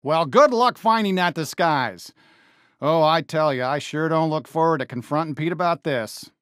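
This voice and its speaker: Animated, folksy American cartoon cowboy sheriff. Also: male voice, fairly high pitch, normal speed, no room sound, clean studio sound. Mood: friendly, then concerned, earnest. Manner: folksy, exclamatory, cowboy drawl and slang. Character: friendly, earnest, excitable cartoon cowboy sheriff. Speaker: voice actor in a video game. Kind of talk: animated game character voice lines.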